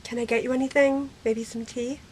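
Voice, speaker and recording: A woman's voice: on the high side, steady tone, fairly quick, darkish tone, husky. A woman playing a kind, welcoming mother in an amateur audio drama. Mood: kindly. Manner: kind, hospitable. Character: kindly, welcoming mother of a young man.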